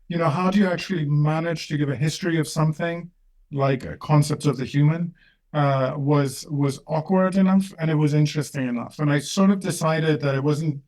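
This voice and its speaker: A man from Greece, a professor and author, answering interview questions thoughtfully with frequent pauses and restarts. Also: on the high side, darkish tone. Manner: thoughtful, hesitant, many fillers and restarts. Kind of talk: interview conversation.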